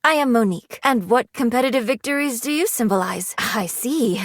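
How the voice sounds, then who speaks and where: A woman's voice: a little high, animated, a little fast, no room sound, studio quality. A woman voicing a prim, anxious, money-minded video game character who speaks in formal, precise, businesslike phrases. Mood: polite, curious. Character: prim, anxious, money-minded female personified savings living in a safe.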